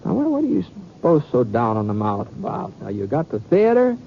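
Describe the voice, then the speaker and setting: An actor playing a mild, hesitant gunfighter in an old-time radio western, talking in a halting, folksy way and repeating himself. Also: male voice, medium pitch, huge pitch swings, vocal fry, a little hiss or hum. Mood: puzzled, reassuring. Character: mild, hesitant, drawling Old West gunfighter telling his tale. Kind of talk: old-time radio western acting and first-person narration. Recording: dull vintage radio recording, faint music.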